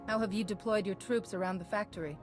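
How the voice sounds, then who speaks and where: An actress playing a composed, resolute female commander in a video game, measured and authoritative. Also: mid-range voice, music in the background, close to an everyday voice.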